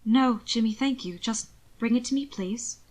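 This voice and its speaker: A woman plays a weary gentleman in an audiobook story. He speaks with polite restraint and earnest feeling. His manner is subdued, yet he holds his ground gently. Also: on the high side, normal speed, lightly acted. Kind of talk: audiobook character dialogue.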